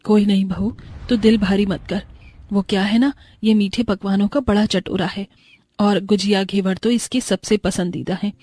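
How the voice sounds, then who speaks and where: A woman's voice: medium pitch, fairly even intonation, moderate pace, dark tone, no room sound, close to an everyday voice. A woman voicing an affectionate, homely older mother-in-law from a poor village household. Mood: reassuring.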